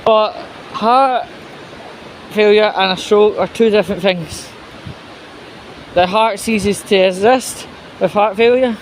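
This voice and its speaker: Male news vlogger, notably high voice, halting and opinionated, rambling delivery, some background noise. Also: low-quality recording. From United Kingdom. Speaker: host of his own commentary vlog. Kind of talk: casual opinionated news commentary.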